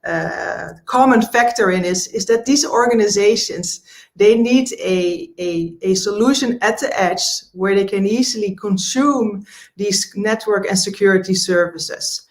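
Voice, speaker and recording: Female sales trainer, Dutch accent, mid-pitched voice, informal presenting with halting phrasing.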